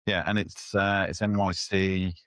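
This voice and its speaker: A man's voice: low-pitched, level tone, measured pace, a bit bright, no room sound. A man hosting a design interview podcast, friendly and full of small fillers, recorded in an apartment. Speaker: podcast host.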